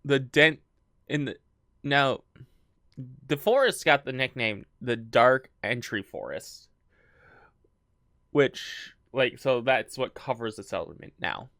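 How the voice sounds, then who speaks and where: A woman hosts a true crime podcast and tells the story as a casual chat. Her pitch swings widely and she often breaks into giggles. The sound is close and dry. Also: very animated, slow pace, pauses often, fairly bright tone, dry recording. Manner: giggly, stumbles over words.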